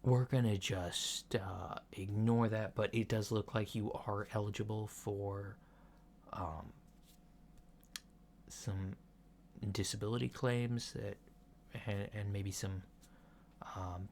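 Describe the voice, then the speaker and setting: Male ASMR roleplayer as a mildly awkward alien doctor: lowish, very slow, hesitant, gently clinical delivery, faint noise behind. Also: little pitch movement. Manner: soft-spoken, hesitant, clinical and matter-of-fact. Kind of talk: ASMR medical checkup roleplay. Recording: lo-fi home recording with background noise.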